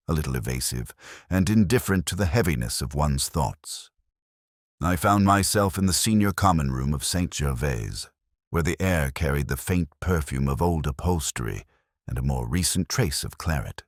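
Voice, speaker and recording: A man reading a scripted first-person detective story as its narrator, in a measured, reflective, literary manner. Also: rumbling low, no echo, clean studio sound.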